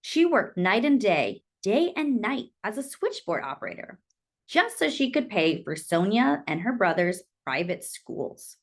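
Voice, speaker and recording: Animated woman reading a children's story. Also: medium pitch. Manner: animated, deliberate storytelling with dramatic emphasis. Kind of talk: expressive read-aloud of a children's picture book.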